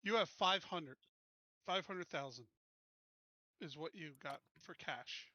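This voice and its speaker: A man's voice: on the high side, slow, bright, forceful tone, dry recording, lo-fi sound. A man chatting casually and informally as a player in an online tabletop game. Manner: informal, often says "dude".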